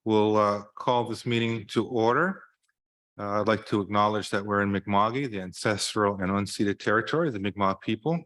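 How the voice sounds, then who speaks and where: Low-voiced man chairing a meeting. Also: a bit bright. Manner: halting, many filler pauses. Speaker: deputy mayor chairing meeting. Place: council chamber.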